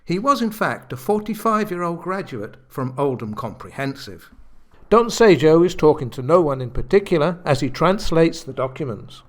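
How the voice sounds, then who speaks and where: A man's voice: fairly high pitch, very animated, normal speed. A man giving scripted comic narration for a radio play, in a wry, mock-grand manner. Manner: theatrical, wry.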